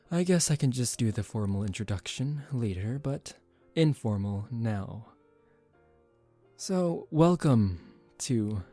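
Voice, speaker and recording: Man acting as a slow, soft-spoken vampire aristocrat. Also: mid-range voice, dry recording. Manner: calm, measured, formal, gently commanding. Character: composed, aristocratic young male vampire, protective and secretive. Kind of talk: soft-spoken ASMR roleplay.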